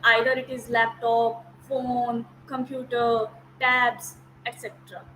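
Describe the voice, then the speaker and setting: A woman's voice: on the high side, level tone, slow pace, music in the background, low-quality recording. A woman, a Hindi native speaker, teaching an online class, explaining step by step, repeating key terms and checking whether students follow. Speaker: academic counsellor. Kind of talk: online lecture. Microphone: video call.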